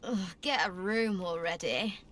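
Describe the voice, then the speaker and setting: A woman's voice: fairly low pitch, a little slow, a bit bright. A woman playing a brash, sarcastic fighter in a fantasy video game.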